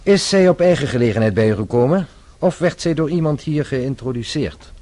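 An actor playing a shrewd, composed French police inspector in a radio play, speaking formally with measured insistence. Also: male voice, medium pitch, expressive, dark tone, natural voice. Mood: stern, probing.

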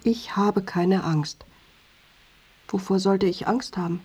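An actress in an intimate radio drama playing a patient, lonely wife, speaking softly in a breathy half-whisper with gentle persistence. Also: female voice, medium pitch, slow. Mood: wistful, weary. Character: patient, lonely wife seeking her husband's affection.

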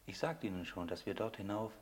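An actor playing an enigmatic former soldier turned smuggler in a radio play, grave, resigned and cryptic. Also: male voice, lowish voice, brisk, natural voice. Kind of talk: German radio play acting. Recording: long reverberant hall sound.

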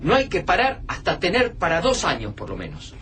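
A man's voice: on the high side, wildly swooping pitch, a little fast, pushed and bright, a little hiss or hum, full character voice. A man playing a practical resourceful friend. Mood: somber. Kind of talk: radio drama acting.

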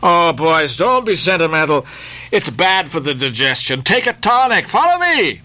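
A man voicing a rowdy male whaling sailor who leads his shipmates in a loud sea shanty. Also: on the high side, big pitch swings, moderate pace, pitched above the performer's own voice. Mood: jolly. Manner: sings and calls out loudly.

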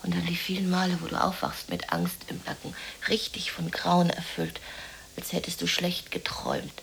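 An actress playing a lonely, depressed, dreamy woman in a radio play monologue, musing haltingly to herself in a breathy half-whisper. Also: lowish voice, sing-song, a bit bright, exaggerated voice. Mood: haunted, remembering.

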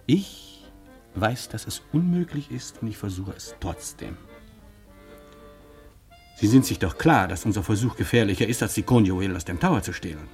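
An actor playing a wry, nervous professor in a German radio play, speaking dryly and stammering with "äh" when flustered. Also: male voice, fairly low pitch, lively intonation, normal speed, a little mellow, faint music behind. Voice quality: gravelly. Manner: dry and cautious, flustered and stammering under pressure. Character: nervous, wry older male professor conspirator. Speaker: professor and undercover policeman.

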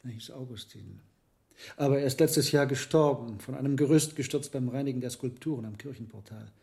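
An actor playing a learned, hesitant medieval monk herbalist in a radio drama, speaking in a deliberate, musing way. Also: male voice, medium pitch, vocal fry, mellow, lightly acted. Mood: pensive, doubtful. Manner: deliberate, musing, with reflective pauses. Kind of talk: German radio drama acting.

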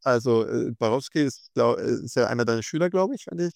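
A man's voice: mid-range voice, animated, fast, vocal fry, no room sound. A man hosting a podcast interview, asking listener questions hesitantly with many fillers. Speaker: podcast host.

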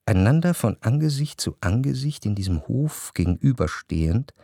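A man playing a refined, observant first-person narrator in a radio play, reciting literary prose with measured, deliberate phrasing. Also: medium pitch, sing-song, gravelly, dark tone, no echo, clean studio sound. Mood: reflective, intent.

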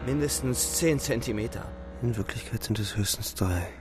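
An actor playing a wounded young soldier who narrates a radio drama in a terse, urgent, defiant way. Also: male voice, medium pitch, moderate pace, background noise, natural voice. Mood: tense, grim. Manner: terse, urgent present-tense telling.

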